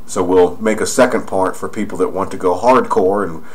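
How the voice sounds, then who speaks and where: A man's voice: low-pitched, moderate pace. A man narrating over screen images, rambling and pointing out details as he goes. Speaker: video narrator.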